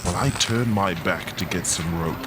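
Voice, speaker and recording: An actor playing a greedy, theatrical squid-boat skipper in a comic radio drama. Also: male voice, sits low, moderate pace, noisy background. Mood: scheming. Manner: theatrical, exclamatory.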